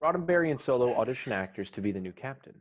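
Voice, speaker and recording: A man's voice: medium pitch, animated, racing. A man reading screenplay stage directions as the narrator of a scripted table read. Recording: slightly dull online call audio.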